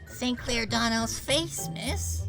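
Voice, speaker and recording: A woman voicing a hesitant, earnest little schoolboy with a halting way of talking, in an audio drama. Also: a little high, creaky, music in the background. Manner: slow, halting.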